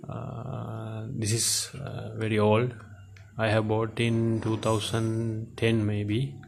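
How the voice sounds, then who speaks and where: A man with a very flat, monotone voice walks through a gadget in an unscripted demonstration. He speaks slowly and haltingly, in short hesitant phrases, over audible background noise. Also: fairly low pitch. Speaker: presenter of a gadget teardown video. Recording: clear steady background noise.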